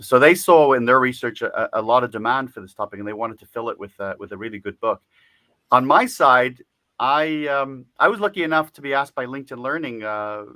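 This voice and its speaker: A man's voice: mid-range voice, bright, forceful tone, dry recording. A man being interviewed as an author, speaking casually with lots of fillers, restarts and little laughs. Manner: chatty, many fillers and restarts, laughs often. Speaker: guest author.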